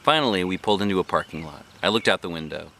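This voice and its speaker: A man reading a children's book aloud as a dad, with expressive, animated narration and put-on character voices. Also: low-pitched, rapid-fire, vocal fry.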